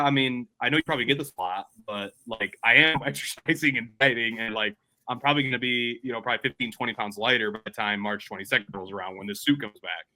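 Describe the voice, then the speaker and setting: Bright, rambling man telling stories. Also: medium pitch, poor audio. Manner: rambling storyteller, laughs often. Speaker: podcast co-host. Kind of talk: casual chat.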